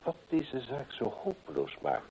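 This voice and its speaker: An actor playing a keen, methodical gentleman detective in an old radio play, deliberate and rhetorical as he reasons aloud. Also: mid-range voice, wildly swooping pitch, a little hiss or hum, poor audio. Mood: analytical, intent.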